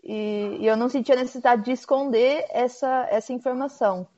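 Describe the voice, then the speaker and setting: Brazilian woman chatting, lots of fillers, kinda high, muffled recording. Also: fairly even intonation, a little fast, a crowd in the background. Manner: chatty, frequent "tipo" fillers. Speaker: guest hitchhiking traveler. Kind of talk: casual conversation. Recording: dull lo-fi sound.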